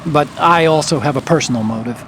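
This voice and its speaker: Earnest, brainy activist. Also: male voice, a little high, brisk, a bit bright, slight background noise. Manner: articulate, explanatory. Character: earnest, brainy male activist, calm idealist. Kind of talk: dramatic video game acting.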